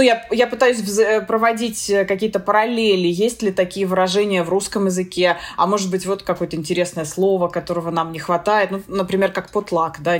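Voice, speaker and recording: Woman guest, Russian accent, thoughtful, pauses a lot, laughs easily. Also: mid-range voice. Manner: thoughtful, hesitant with many fillers, laughs easily. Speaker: guest, philologist and Russian teacher in the US. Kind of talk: conversational interview answers.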